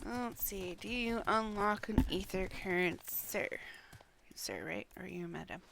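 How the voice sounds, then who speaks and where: A woman with a creaky, fry-laden voice talks through her game on a live stream in an unhurried, casual way. She mutters half to herself and sounds a little under the weather. Clear background noise runs behind her. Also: medium pitch. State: slightly under the weather, coughing.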